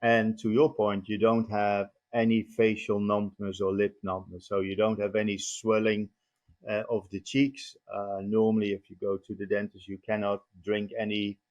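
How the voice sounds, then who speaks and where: A man in his fifties with a Dutch accent, a company CEO answering interview questions in a measured way, pausing often on "uh". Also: lowish voice, monotone, darkish tone, highs cut off. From Netherlands. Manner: measured, many "uh" pauses. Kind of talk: podcast interview.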